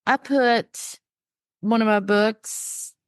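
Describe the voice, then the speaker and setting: A woman's voice: fairly high pitch, fairly even intonation, extremely slow, smooth, no room sound. A woman co-hosting a podcast in casual chat, stuffed up from a cold, chatty and telling stories. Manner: chatty, storytelling. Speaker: podcast co-host.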